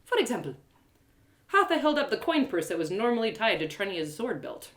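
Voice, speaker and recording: A woman storyteller giving an expressive live reading of a first-person fantasy audio drama, occasionally correcting herself. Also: medium pitch, a little fast.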